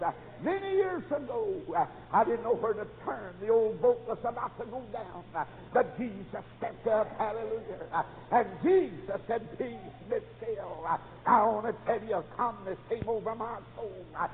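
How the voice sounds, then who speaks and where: A man preaching a fervent revival sermon, telling stories with acted dialogue and punctuating nearly every phrase with "uh.". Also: high voice. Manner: exclamatory, storytelling delivery. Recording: dull lo-fi tape in reverberant hall, faint noise.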